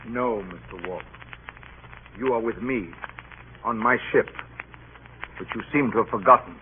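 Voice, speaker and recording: An actor playing a stern, weary ship's captain in an old radio drama, speaking in a measured, formal way. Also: male voice, fairly low pitch, close to an everyday voice. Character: stern, weary male ship's captain telling his tale. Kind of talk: 1940s radio drama acting and narration. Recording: muffled old radio broadcast, clear hiss.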